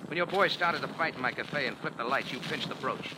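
An actor with an American accent playing a tough, sardonic cafe owner and amateur sleuth in a 1940s radio detective drama, clipped and wry. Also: male voice, medium pitch, brisk, close to an everyday voice. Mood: confronting. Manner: hard-boiled, wry, clipped. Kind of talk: 1940s radio detective drama acting with first-person narration. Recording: noisy old 1940s radio recording.

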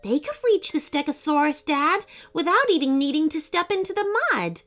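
An eager young girl, acted out by a woman in a storybook adventure. She sounds earnest and childlike, with a high voice that bounces up and down. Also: big pitch swings, moderate pace, a bit bright, faint music behind.

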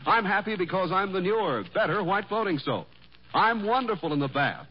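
A man announcing on 1940s radio in animated, folksy comic sales patter. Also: high voice, brisk, breathy edge. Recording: muffled old radio broadcast.

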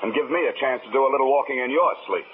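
A man's voice: mid-range voice, racing, lightly acted. An American man playing a tough, sardonic, wisecracking insurance investigator in a 1950s radio drama. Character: hard-boiled, wisecracking male insurance investigator. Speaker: lead actor and narrator in a radio detective drama. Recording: muffled, noisy 1950s radio recording.

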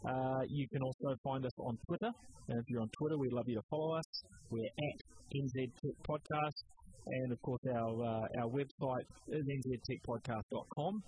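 A man's voice: mid-range voice, normal speed, a little mellow, a little hiss or hum, low-quality recording. A man with a New Zealand accent hosting a casual tech podcast, talking with frequent ums and restarts. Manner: hesitant, many ums and restarts. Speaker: podcast host.

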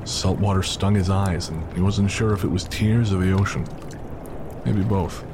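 A man's voice: low-pitched, fairly even intonation, normal speed, noisy background. A man giving scripted, dramatic narration for an audio story. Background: music, rain and storm sound effects.

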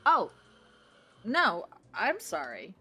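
A woman's voice: mid-range voice, huge pitch swings, extremely slow, pushed and bright, breathy edge, full character voice. An actress playing a pushy, nervous young woman waiting for a blind date in an audio drama comedy, needling and rambling with suspicion. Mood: suspicious, flustered. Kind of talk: audio drama acting, romantic comedy dialogue.

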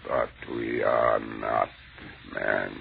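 A creaking, bottomless-deep alien monster. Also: male voice, fairly even intonation, exaggerated voice. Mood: cold, superior. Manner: slow, deliberate, contemptuous pronouncements. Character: ancient hungry alien monster, cold, superior, menacing. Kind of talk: 1930s radio horror acting. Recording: muffled, noisy 1930s radio recording.

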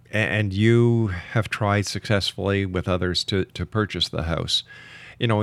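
Male radio host, very low voice, courteous announcer delivery, dry studio sound. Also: vocal fry, dry recording. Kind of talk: talk radio hosting, interview. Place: broadcast studio.

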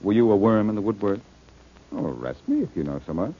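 An unflappable wisecracking sleuth on a muffled old radio show. His husky, lowish voice lilts up and down freely, glib and mocking. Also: very animated, fast, exaggerated voice. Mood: dry, sardonic. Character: flippant, wisecracking, unflappable male amateur detective. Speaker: lead actor in a detective radio drama. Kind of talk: old-time radio detective acting.

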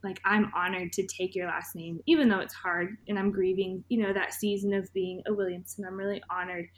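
A woman's voice: mid-range voice, level tone, brisk, a little hiss or hum, low-quality recording. Earnest woman from Tennessee. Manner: earnest, heartfelt. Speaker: podcast guest. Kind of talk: personal conversation.

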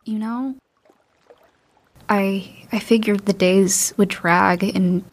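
A gentle, hopeful teenage daughter, voiced by a woman in an audio drama. She speaks softly and hesitantly, often stumbling a little over her words. Faint noise sits behind her voice. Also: mid-range voice, vocal fry. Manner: soft and hesitant.

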